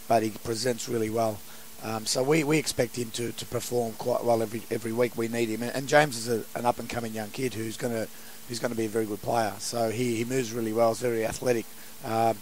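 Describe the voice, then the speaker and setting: A hoarse man, a football coach answering post-match questions in a halting way. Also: mid-range voice, slight background noise, poor audio. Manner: halting, many restarts and fillers. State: hoarse, has lost his voice.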